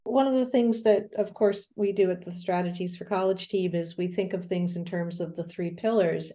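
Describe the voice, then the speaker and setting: Mellow-voiced woman interviewer. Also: on the low side. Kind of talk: friendly interview questions.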